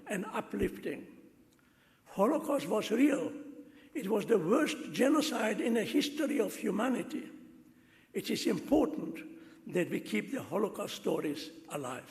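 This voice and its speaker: High, gravelly man in a reverberant hall. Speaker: ceremony speaker lighting a memorial candle. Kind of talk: formal prepared address.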